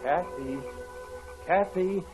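A man's voice: high-pitched, very slow, darkish tone, faint music behind, low-quality recording. An actor playing a brooding, devoted young man in a 1940s radio drama. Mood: tender, bitter.

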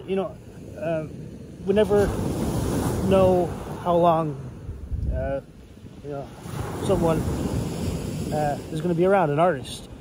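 A man in his thirties giving a casual show-and-tell in a hesitant, rambling way. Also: fairly high pitch. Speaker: writer and hobbyist reviewer. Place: outdoors on a beach shore. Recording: outdoor wind and water noise.

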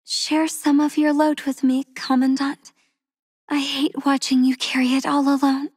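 A soft-spoken, tender game heroine. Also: female voice, sits high, flat delivery, unhurried, dry recording, clean studio sound. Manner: soft, tender, with frequent breathy whispers. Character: gentle, devoted young woman soldier, soft-spoken and tender. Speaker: voice actress for a game character. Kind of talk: game character voice lines. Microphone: close studio mic.

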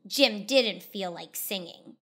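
A woman reading a children's story aloud as scripted narration, in an expressive, deliberate storytelling manner. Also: mid-range voice. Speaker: storybook reader.

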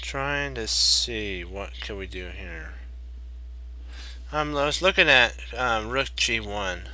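A man in his fifties streaming chess puzzles from home, thinking aloud to viewers, his voice getting croaky. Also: lowish voice, animated, takes it slowly, bright, forceful tone, slight background noise, poor audio. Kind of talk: live chess puzzle commentary.

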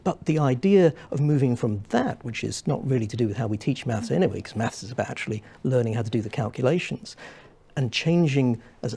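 A young man on a studio panel, explaining at length in a measured way with many restarts. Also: medium pitch, vocal fry, darkish tone, slightly rough. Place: small studio set with armchairs.

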